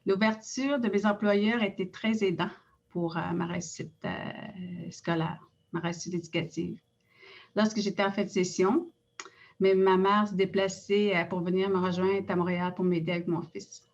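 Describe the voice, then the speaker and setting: A middle-aged Innu woman with a Quebec French accent, reading a prepared personal account in a measured way with frequent hesitations. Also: mid-range voice, fairly even intonation, highs cut off. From Innu community in Quebec. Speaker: director of an Innu cultural institute.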